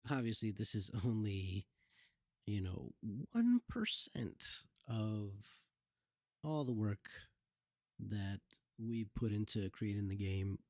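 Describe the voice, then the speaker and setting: A man's voice: on the low side, lively intonation, takes it slowly, a little mellow, no room sound, studio quality. A man giving casual, off-the-cuff commentary over gameplay as a former game developer.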